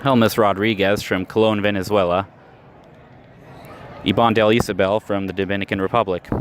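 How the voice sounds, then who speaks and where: A lower-voiced man calls a baseball game pitch by pitch, weaving stats into a steady, measured radio delivery. He was recorded live at an outdoor ballpark, and the open-air ambience and traffic come through clearly behind him. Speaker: play-by-play announcer. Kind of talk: baseball radio play-by-play commentary.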